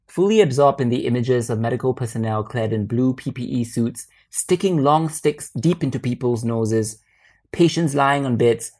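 A woman reading a literary short story aloud as narrator, in a measured and expressive manner. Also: extremely low pitch.

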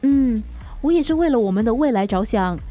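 A thirty-something wife with a high, emotive voice. She is earnest and easily wounded, pleading her case in radio-drama style. Faint music sits behind her. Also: animated, a little fast, dark tone. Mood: weary, aggrieved. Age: 30s.

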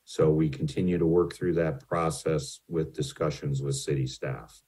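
A man's voice: a bass voice, fairly even intonation, normal speed, a little mellow, breathy edge. A man presenting financial slides to a city council, hesitant and full of ums and restarts, who says his voice tends to carry. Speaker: utility rate consultant presenting to a city.

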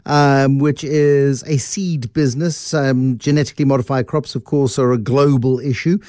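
A man hosting a radio business show, questioning his guest in a chatty, lively way with asides. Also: medium pitch, normal speed, no room sound, clean studio sound. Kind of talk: radio interview.

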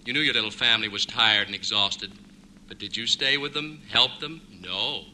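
An actor playing a scolding, taunting inner conscience in a 1950s radio comedy, needling on a faintly noisy old recording. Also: male voice, lowish voice, normal speed, sharp tone.

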